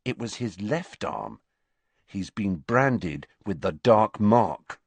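Man voicing stubborn teenage boy wizard, raised pitch, emphatic swings, insistent, audiobook character. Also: lively intonation, slow, vocal fry, slightly rough, dry recording, exaggerated voice. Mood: stubborn, convinced.

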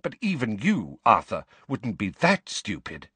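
A man voicing a stern, sharp-tongued middle-aged witch mother. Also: lowish voice, huge pitch swings, a little slow, breathy edge, dry recording, full character voice. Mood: scolding. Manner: scolding, rising.

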